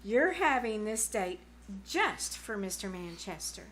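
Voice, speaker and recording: A woman's voice: mid-range voice, sing-song, measured pace, a bit bright. A woman playing a bossy, scheming teenage girl in old-time radio comedy style, emphatic and wheedling. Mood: persuasive. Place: live on a small theater stage.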